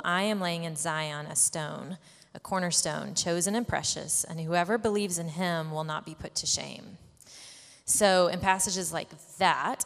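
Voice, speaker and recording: Woman giving a testimony at church, low voice, thoughtful, lots of um, big echoey room. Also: creaky, fairly bright tone. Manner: earnest, reflective, with frequent fillers. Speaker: church member sharing a reflection. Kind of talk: earnest church testimony with scripture reading. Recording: echoey hall.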